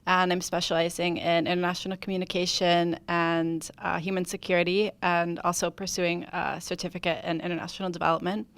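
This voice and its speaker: A young woman from the United States gives an informal self-introduction as a student panelist. Her voice is slightly low and smooth, with little pitch movement. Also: level tone, fairly quick, few pauses, fairly bright tone, no echo. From Syracuse, New York, United States. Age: 20s.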